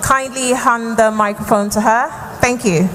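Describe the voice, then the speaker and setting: A woman's voice: a little high, fairly even intonation, measured pace. A woman moderating a town hall, firmly and politely keeping order and calling on questioners. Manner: firm, polite crowd control. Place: large hall with crowd. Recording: echoey hall, noisy crowd.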